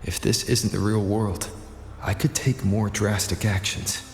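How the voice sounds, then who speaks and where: Serious young wanderer in a game, thinking things through, bit of fry, big echoey reverb. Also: male voice, lowish voice, steady tone, a little hiss or hum. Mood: grave, thoughtful. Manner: measured, serious, analytical. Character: composed young male wanderer, reasoning through his plans. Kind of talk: scripted game voice acting. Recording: echoey, long reverb, music behind.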